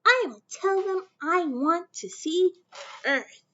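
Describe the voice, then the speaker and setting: A woman's voice: very high voice, expressive, slow pace, fairly bright tone, muffled recording, slight background noise, pitched above the performer's own voice, heavily acted. A woman voicing a young girl who dreams of space, eager and wondering, in a storybook reading.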